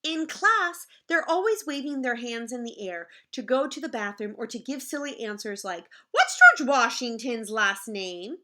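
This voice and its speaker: A woman reading a picture book aloud in the manner of a teacher, expressive and explaining things simply to children. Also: high-pitched, moderate pace, hardly any pauses. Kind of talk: animated children's read-aloud with asides to the listeners.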